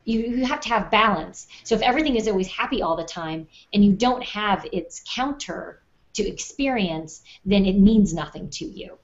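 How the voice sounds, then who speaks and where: A woman's voice: mid-range voice, animated, moderate pace, dull audio. A woman co-hosting a podcast, explaining things earnestly in a casual panel discussion. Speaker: podcast co-host.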